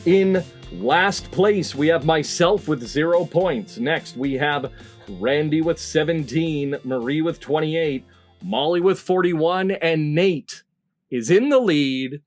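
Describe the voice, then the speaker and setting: A man's voice: a little high, slow pace, faint music behind. A middle-aged man hosting a comedy panel game with playfully ceremonious, announcer-like introductions. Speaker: game show host.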